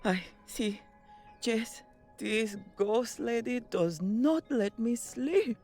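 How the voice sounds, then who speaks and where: Woman acting a frightened superstitious woman, broken English, slow halting speech, faint music behind. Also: a little high, lively intonation, a little mellow, exaggerated voice. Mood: fretful. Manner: nervous, halting, broken English with Spanish words. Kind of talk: audio drama table read.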